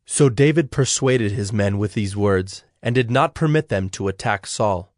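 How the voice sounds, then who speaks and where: A man reading scripted Bible story narration in a measured, solemn manner. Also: lowish voice, no echo, studio quality.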